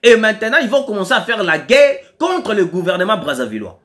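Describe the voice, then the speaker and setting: A man's voice: high-pitched, very animated, a little fast, fairly bright tone. A man with a Congolese French accent giving impassioned political commentary, hammering home his points by repeating key phrases.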